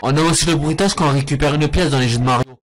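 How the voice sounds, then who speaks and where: Sarcastic man with a fairly high voice. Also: racing, background noise. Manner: sarcastic, jokey, scornful. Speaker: cartoon reviewer. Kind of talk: mocking commentary over clips.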